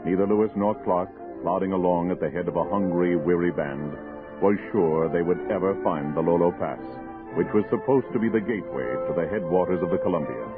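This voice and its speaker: A man's voice: low voice, brisk, lightly acted. A man with an American accent acting as the solemn, formal narrator of a 1960s frontier radio drama. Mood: solemn, dramatic. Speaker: narrator, announcer. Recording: muffled old radio recording with music.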